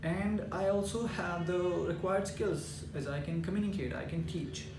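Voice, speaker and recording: A man's voice: sits high, moderate pace, vocal fry, noisy background. A young man from India whose first language is Punjabi, earnestly introducing himself in an application video, with frequent fillers. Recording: echoey room with loud music.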